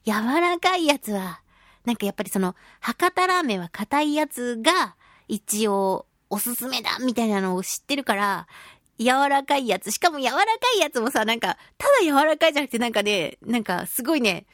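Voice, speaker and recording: A woman hosting a radio show, chatting casually and reading listener mail in a playful, expressive way. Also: on the high side, a little fast, dry recording, studio quality. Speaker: radio show host, voice actress.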